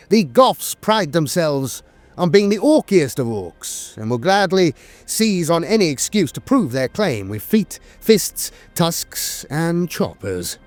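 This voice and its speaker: A man delivers scripted lore narration with dramatic emphasis. His voice sits a little high and swings widely in pitch. The recording is close and dry, with a faint bed of music behind him. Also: darkish tone, no room sound.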